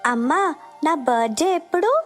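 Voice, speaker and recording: A woman voicing a childish, expressive little schoolgirl in a children's story. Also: high voice, moderate pace. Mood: insistent. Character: sweet, eager little schoolgirl from a poor family. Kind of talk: children's moral story dialogue. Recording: music behind the voice.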